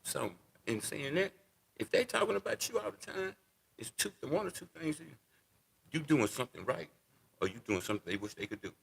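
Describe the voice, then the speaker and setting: A man's voice: on the high side, very animated, brisk, vocal fry, breathy edge. A man in his sixties, a guest in a casual interview, talking unhurriedly and repeating words as he thinks. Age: 60s.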